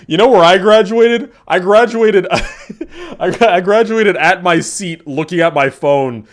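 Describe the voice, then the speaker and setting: A man in his twenties chatting casually with his live-stream chat, laughing and swearing in quick asides. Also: sits high, animated. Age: 20s.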